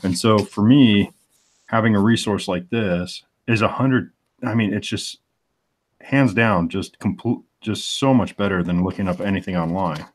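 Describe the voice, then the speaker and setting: A man's voice: on the low side, measured pace. A man in his forties, a comic artist, chatting casually and thinking aloud as he searches for the right words. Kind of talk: casual chat on a live stream.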